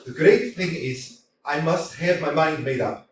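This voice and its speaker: A man's voice: fairly high pitch, heavy background noise, heavily acted. A man playing a flustered, stammering thirty-five-year-old suitor who worries about his health, in a staged play reading recorded from a distance in a roomy hall. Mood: anxious. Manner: stammering, flustered, nervous laughs. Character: nervous anxious 35-year-old suitor, hypochondriac.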